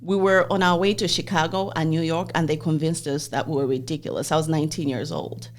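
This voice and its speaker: A woman in her forties, originally from Zambia, hosts a podcast and talks through her own life story. Her voice sits a little low and her delivery is open and reflective. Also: fairly even intonation, a little fast. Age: 40s. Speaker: podcast host. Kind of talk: personal interview conversation.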